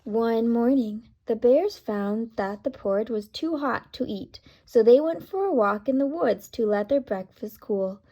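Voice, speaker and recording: A woman reading a children's story aloud with deliberate, dramatic emphasis, speaking directly to young listeners. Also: on the high side, lively intonation, mellow. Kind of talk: expressive children's read-aloud with asides to listeners.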